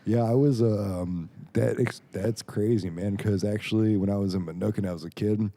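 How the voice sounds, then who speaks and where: Young American male podcast guest, late twenties, deep relaxed voice, informal, joking, rambling chat. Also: moderate pace. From Chicago area, United States. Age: late 20s. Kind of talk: casual chat.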